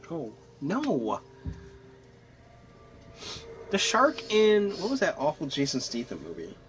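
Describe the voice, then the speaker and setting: A man chatting casually as he streams a game, joking and rambling in an animated way. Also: a little high, moderate pace, faint music behind. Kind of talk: casual gameplay commentary and chat.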